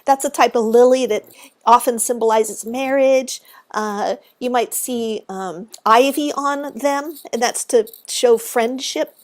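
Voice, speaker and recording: A woman with a high voice, exuberant and chatty, explaining cemetery history as a guest on a live stream. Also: slow pace. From Texas.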